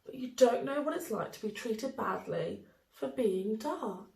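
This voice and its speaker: Echoey, quiet, wounded storybook Night, read by a woman. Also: fairly high pitch, expressive, a little slow, gravelly. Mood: quiet, hurt. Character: Night, hurt, weary female spirit of darkness. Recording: roomy, echoey indoor sound.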